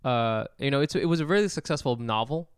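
A man in his thirties co-hosts a comedy podcast with a smooth, resonant voice. He talks in a relaxed way, swears casually and trails off mid-thought. The sound is dry and close. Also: medium pitch, fast talker, dry recording. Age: 30s. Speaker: podcast co-host.

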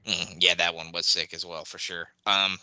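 A man with a very low voice and a bright, forceful tone hosts a casual podcast. He rambles a little, with plenty of fillers. The sound is dry and close. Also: normal speed, dry recording. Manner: relaxed, many fillers, hands off questions to the guest. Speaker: podcast host.

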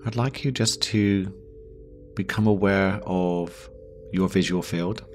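A man guiding a yoga nidra meditation, giving gentle instructions slowly with long pauses. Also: low-pitched, fairly even intonation, faint music behind. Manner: slow, pausing, gentle instruction. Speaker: meditation guide.

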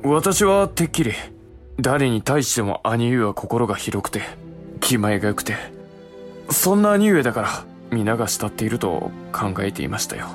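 An actor playing an earnest, respectful younger-brother samurai in a historical audio drama, speaking politely and sincerely. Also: male voice, mid-range voice, sing-song, moderate pace, faint music behind, close to an everyday voice. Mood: taken aback, sincere.

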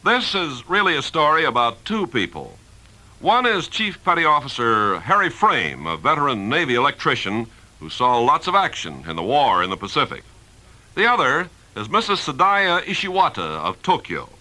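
Very bright, animated radio announcer. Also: male voice, medium pitch, moderate pace, low-quality recording. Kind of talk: scripted 1950s radio narration.

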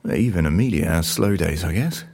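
An actor playing an easygoing, practical British office colleague in a naturalistic audio drama, casual and gently teasing. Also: male voice, deep voice, lively intonation, normal speed, breathy edge, heavily acted. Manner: casual, unfussy, reassuring.

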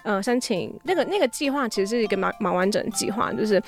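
A woman's voice: mid-range voice, lively intonation, fast talker, faint music behind. A woman with a Taiwanese accent chatting casually as an interview guest, rambling and circling back over her phrases. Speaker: podcast guest, audio drama app founder.

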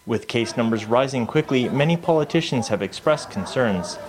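A man narrating a news report in a measured, formal way. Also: medium pitch, expressive, background noise.

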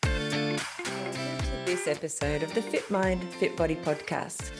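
A woman's voice: fairly low pitch, big pitch swings, measured pace, over loud music. An Australian woman hosting a podcast, chatting casually and informally as an interviewer. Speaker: podcast host.

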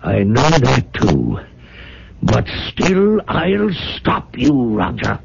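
An actor playing a wealthy, dying old father in a radio drama, stern and moralizing, speaking in a halting, weighty way. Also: mid-range voice, huge pitch swings, gravelly, full character voice. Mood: grim, defiant. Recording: muffled, faintly noisy vintage radio recording.

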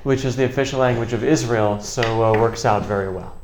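A man's voice: mid-range voice, a little fast, darkish tone, echoey room. A middle-aged man with an American accent answering interview questions conversationally, with a wry, joking delivery. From United States, Chicago area. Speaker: interview guest, political journalist. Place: indoor office in a parliament building.

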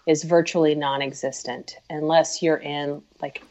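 A woman's voice: low-pitched, little pitch movement, measured pace. A woman from Oklahoma, an author who is a guest on a podcast, explaining things in the way she would for students. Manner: warm, explanatory, like speaking to students. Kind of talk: podcast interview. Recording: dull lo-fi sound.

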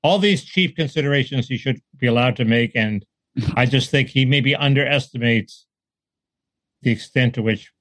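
Male co-host, middle pitch, dry room; wry, jokey, chuckles a lot. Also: moderate pace, a bit bright, dry recording, low-quality recording. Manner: wry, joking, laughs between points. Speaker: podcast co-host. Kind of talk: casual political chat.

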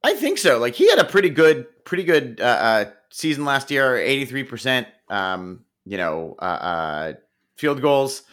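A man's voice: mid-range voice, very animated, moderate pace, a bit bright. A man co-hosting a podcast, chatting about football in an easygoing, joking way with many fillers. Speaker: podcast co-host. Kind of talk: casual sports chat.

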